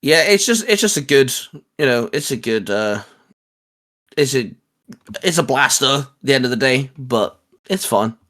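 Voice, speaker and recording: A man's voice: medium pitch, animated, moderate pace, long pauses, fairly bright tone. A man co-hosting a fan discussion show, talking casually and enthusiastically about geeky favourites in a loose, hedging way. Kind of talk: casual geek chat, ranking discussion.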